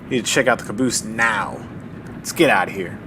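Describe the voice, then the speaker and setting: A man voicing a calm, practical male investigator in an old-time style radio mystery drama. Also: on the low side, a little fast, lightly acted. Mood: tense. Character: steady male investigator on a train. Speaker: voice actor. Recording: noisy radio drama recording.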